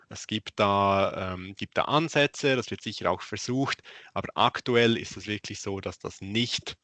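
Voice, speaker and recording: A man's voice: on the low side, pushed and bright, no echo. A man working as a technical analyst gives a matter-of-fact German presentation, with many filler "uhs". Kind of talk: presentation in an online event.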